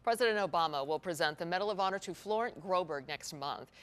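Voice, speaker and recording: A woman's voice: lowish voice, animated, fast talker. American woman anchoring news, conversational. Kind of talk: newscast reading with informal co-anchor banter.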